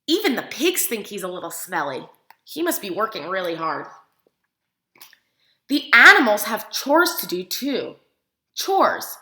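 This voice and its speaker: Casting: woman preschool teacher, bright high voice, expressive picture-book read-aloud with questions to little listeners, recorded in an echoey room. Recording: roomy, echoing room sound.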